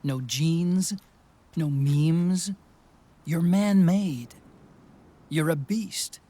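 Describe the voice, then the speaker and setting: A man's voice: on the high side, extremely slow, mellow. An actor playing an earnest, emotional and devoted male sidekick in a game cutscene, speaking in a pleading way. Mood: earnest, resolute. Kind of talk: dramatic video game cutscene acting.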